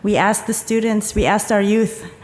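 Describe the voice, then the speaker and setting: A woman giving a conference talk, calmly guiding the audience through a breathing exercise and explaining the ideas behind it. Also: mid-range voice, moderate pace. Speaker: conference presenter. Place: conference hall. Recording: echoey conference hall.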